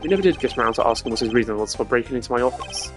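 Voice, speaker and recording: A man's voice: mid-range voice, animated, lightly acted. Man acting a formal, composed prosecutor over music. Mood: composed, pondering. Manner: measured, formal, pompous. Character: cool, formal male prosecutor with deductive mind. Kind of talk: amateur video game voice-over. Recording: loud music under the voice.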